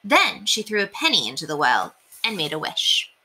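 A young woman reading a children's storybook aloud in an expressive, deliberate way. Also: lowish voice, rough recording. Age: young adult. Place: small indoor room. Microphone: webcam at desk distance.

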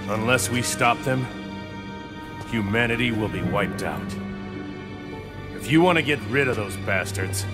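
An actor playing an earnest, battle-weary squad leader in video game cutscenes, urgent and commanding. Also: male voice, mid-range voice, a little slow, long pauses, over loud music, lightly acted. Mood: grave, determined.

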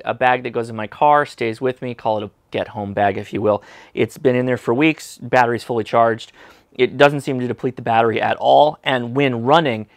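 A man's voice: mid-range voice, no room sound, clean studio sound. An American man in his thirties presenting a casual product review to camera in an enthusiastic, emphatic way. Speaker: host of a ham radio review channel. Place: small indoor workshop room. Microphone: lapel mic.

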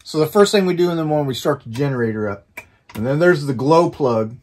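A man's voice: on the high side, animated, quick pace. A man in his fifties casually explaining boat chores, rambling with restarts, on a sailboat with engine and wind noise behind. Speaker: sailing vlogger. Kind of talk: casual how-to narration. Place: sailboat cabin, then open deck outdoors.

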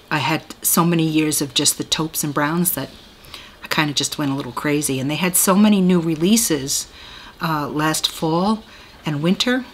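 A woman's voice: deep voice, a little hiss or hum. A woman, chatting casually and rambling through a beauty tag video at home. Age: 60s. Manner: chatty, rambling, self-deprecating with laughs. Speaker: beauty vlogger. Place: small room at home, indoors.

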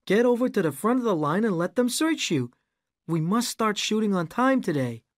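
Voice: very animated, moderate pace, no room sound, studio quality, lightly acted. A reader voicing a bossy adult male film director who barks orders in a raised, commanding voice. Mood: impatient. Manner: loud, commanding, raised pitch. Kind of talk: audiobook character voice.

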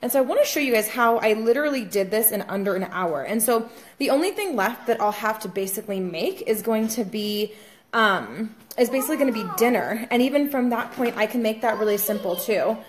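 A woman's voice: a little high, expressive, a little fast. A young woman, a fitness coach, chatting casually to viewers in a home kitchen, with plenty of ums, filmed on a phone held at arm's length. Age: young adult. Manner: chatty, many fillers, talks to viewers as guys. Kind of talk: casual vlog tutorial. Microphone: phone camera held at arm's length.

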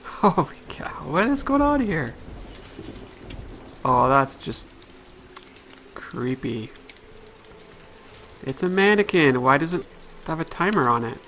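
A man's voice: on the high side, sing-song, slow pace, pauses often, fairly bright tone, slight background noise. A man hosting a let's play, chatting casually and thinking aloud as he plays. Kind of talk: casual gameplay commentary.

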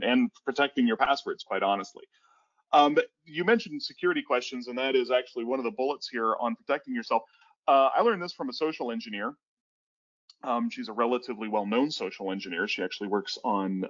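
A man's voice: mid-range voice, fairly bright tone. Man in his thirties, webinar presenter, headset on a video call, casual and rambling, slightly muffled audio. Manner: rambling with frequent restarts and fillers. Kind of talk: casual conversational webinar talk.

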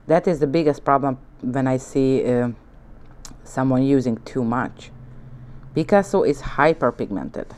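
A woman with a Hungarian accent, a native Hungarian speaker, giving a relaxed nail art tutorial at her studio desk, talking in gentle, coaxing instructions and often checking in with "okay?". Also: a bass voice, measured pace. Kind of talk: relaxed tutorial narration while working. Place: indoor nail studio desk, hands on camera from above.

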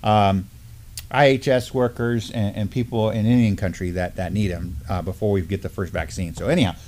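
A man's voice: fairly low pitch, fairly even intonation, moderate pace, darkish tone. Middle-aged American man, casual news host. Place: indoor studio at a table.

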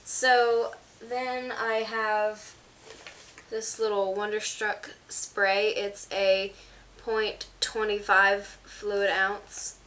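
A woman's voice: mid-range voice, flat delivery, extremely slow, fairly bright tone. Congested teen girl chatting to camera. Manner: chatty, rambling with fillers. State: congested, under the weather. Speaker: vlogger. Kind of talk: casual product show-and-tell to camera. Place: small bedroom indoors. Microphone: camera on a stand in front of her.

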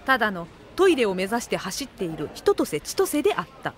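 A woman playing the dry, wry narrator of an anime comedy audio drama, reading scripted narration in a measured deadpan. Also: medium pitch, close to an everyday voice.

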